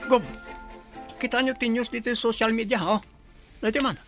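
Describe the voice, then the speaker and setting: A man playing a corrupt governor's deferential henchman in a radio drama, creaky-voiced and conspiratorial. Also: extremely high pitch, steady tone, moderate pace, music in the background. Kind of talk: Ilocano radio drama acting.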